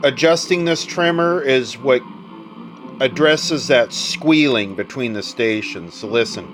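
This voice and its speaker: A man's voice: medium pitch, a little slow, background noise. A man giving unscripted hands-on repair commentary, muttering short remarks as he thinks aloud. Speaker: radio repair hobbyist and video host.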